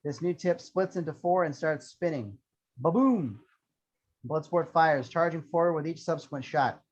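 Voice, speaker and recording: Man, slightly high voice, scripted action narration, table read, dull lo-fi audio. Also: lively intonation, moderate pace. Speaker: reader of screenplay action lines. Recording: dull lo-fi sound.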